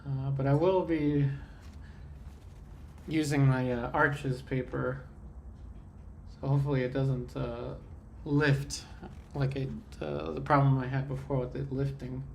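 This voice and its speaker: A man's voice: mid-range voice, unhurried, creaky, a little hiss or hum. A man hosting a live painting stream, sounding congested and a bit nasal as he chats easily with his viewers while he works. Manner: relaxed, chatty, answers viewer comments while working. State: congested from a sinus infection. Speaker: livestream art host. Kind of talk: live painting demo chat.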